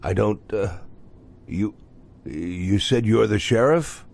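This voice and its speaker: A man playing a nervous, evasive old suspect in a video game drama, halting, hesitant and mumbling. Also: on the low side, creaky, a little mellow, slight background noise. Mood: hesitant, pleading. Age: older. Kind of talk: subdued video game cutscene acting.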